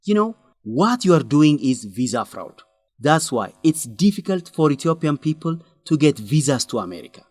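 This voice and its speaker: A principled, pushy young friend. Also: male voice, fairly high pitch, moderate pace, dry recording. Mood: pleading, scolding. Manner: animated, urging, scolding. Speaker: friend and fellow researcher. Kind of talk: radio drama acting.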